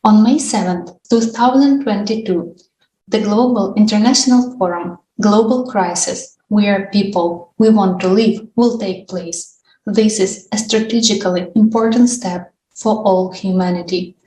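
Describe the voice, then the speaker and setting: A woman's voice: mid-range voice, warm tone. A woman co-hosting an international discussion, reading scripted announcements. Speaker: co-host of an international online discussion. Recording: echoey room with background noise.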